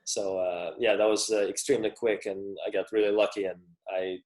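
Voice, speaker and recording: Low-voiced man, echoey room. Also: level tone, a little fast, darkish tone. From Russia. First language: Russian. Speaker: guest, professional tennis player. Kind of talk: casual interview talk.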